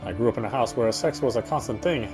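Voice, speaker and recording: A man's voice: mid-range voice, expressive, fast, music in the background, natural voice. A man playing a wry, self-justifying British husband, confiding his brooding inner monologue as first-person game narration. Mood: brooding, confused.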